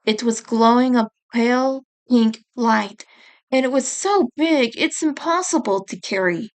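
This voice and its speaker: A woman narrates a story in a high voice. She takes her time, reading at a slow, deliberate pace in the manner of an audiobook storyteller. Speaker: author reading own book chapter.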